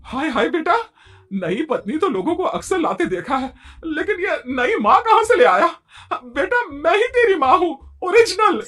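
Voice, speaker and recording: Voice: very high-pitched, sing-song, measured pace, pushed and bright, full character voice. A performer voicing a quarrelsome, martyred mother-in-law who scolds and complains in a Hindi moral-story cartoon. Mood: shocked, wailing.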